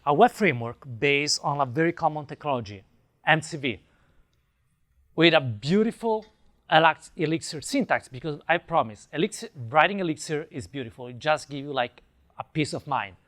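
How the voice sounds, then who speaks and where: A man's voice: on the high side, big pitch swings, moderate pace, fairly bright tone. A man from Italy with an Italian accent, giving an enthusiastic conference talk and taking questions, with many fillers and restarts. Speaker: conference speaker. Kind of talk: tech talk with audience Q&A.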